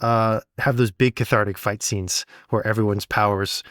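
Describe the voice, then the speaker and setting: A man's voice: fairly low pitch, steady tone, moderate pace, a bit bright, dry recording, clean studio sound. A man co-hosting a podcast as a sound designer, explaining things step by step in a casual conversational style. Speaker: audio drama sound designer, podcast co-host.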